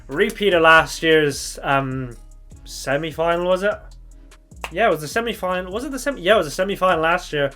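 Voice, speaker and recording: A young man with a slightly croaky voice from shouting, chatting casually through a football reaction vlog, thinking aloud and correcting himself as he goes. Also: a little high, animated, a little fast, faint music behind. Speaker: football fan channel host.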